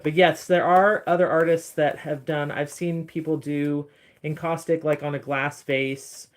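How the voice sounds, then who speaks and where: A woman with a deep voice chatting casually on a phone livestream from her studio, rambling a little as she answers viewers' comments. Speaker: encaustic artist streaming live. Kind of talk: casual livestream chat while working.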